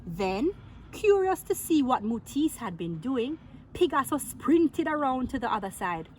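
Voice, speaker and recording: A woman reading a picture book aloud to children in an expressive, playful way, drawing out words and asking her listeners questions. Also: high-pitched, a little hiss or hum. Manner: theatrical, playful, with drawn-out words and exclamations.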